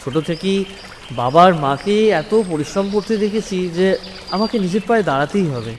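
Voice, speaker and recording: A man's voice: high-pitched, lively intonation, a little fast. A man playing a dutiful, hardworking young delivery man in an audio story, earnest and affectionate. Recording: noisy recording with long hall reverb.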